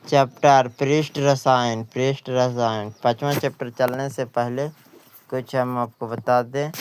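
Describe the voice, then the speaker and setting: A man with an Indian accent teaching an exam-prep lesson, reading out questions and answers haltingly and repeating phrases. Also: medium pitch, clear, resonant tone.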